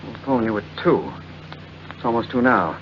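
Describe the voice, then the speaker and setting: An actor playing a suspicious, insistent husband in a theatrical 1940s radio style. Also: male voice, mid-range voice, animated, moderate pace, lightly acted. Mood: suspicious, demanding. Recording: muffled, noisy 1940s radio recording.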